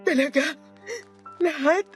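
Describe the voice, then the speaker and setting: A woman's voice: sits high, slow pace. A woman playing a worn-out, despairing young mother in a Filipino radio drama, with a Filipino accent and a sullen, pleading manner. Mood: desperate pleading. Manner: curt and sullen, breaking into tearful pleading. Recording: music behind the voice.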